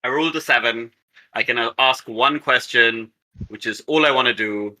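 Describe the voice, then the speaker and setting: A man's voice: medium pitch, moderate pace, bright, forceful tone, poor audio. A man chatting casually as a player in a tabletop game, tentatively thinking aloud.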